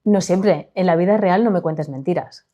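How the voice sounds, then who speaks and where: A woman writer from Spain with a Spanish accent, answering interview questions in a soft-spoken, chatty way and laughing easily. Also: fairly low pitch, moderate pace, muffled recording. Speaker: writer, podcast guest.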